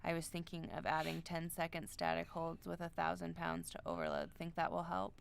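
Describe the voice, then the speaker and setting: A woman's voice: deep voice, brisk, creaky, a little hiss or hum. A woman co-hosting a casual Q&A, reading out viewer questions hesitantly with many "um"s. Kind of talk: reading chat questions aloud.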